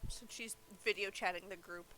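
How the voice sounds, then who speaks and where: A woman's voice: medium pitch, steady tone, normal speed, sharp tone, slight background noise, low-quality recording. A woman chatting casually while she plays a game on a live stream. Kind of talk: casual live gameplay chat.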